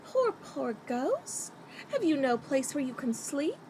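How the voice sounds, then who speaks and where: Casting: a woman to play a kind, plain-speaking young girl for radio drama, high animated voice, earnest and softly reproving. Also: takes it slowly, slight background noise, heavily acted. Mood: frank, sympathetic. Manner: earnest, gently scolding. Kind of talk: theatrical radio play acting.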